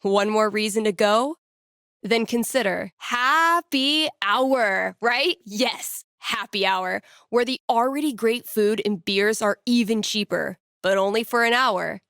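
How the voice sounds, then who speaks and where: A woman's voice: fairly high pitch, a bit bright, no echo. A woman playing a sardonic, candid young female radio DJ in a video game, talking in a dry, casual, conversational way. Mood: breezy, awkward promotion. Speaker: radio host.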